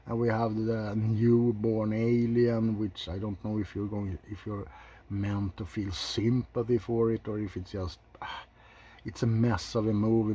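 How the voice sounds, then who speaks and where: A man giving unscripted ASMR film commentary in a soft, low-key, halting way, blunt and opinionated with casual swearing. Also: husky. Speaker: video host reviewing films.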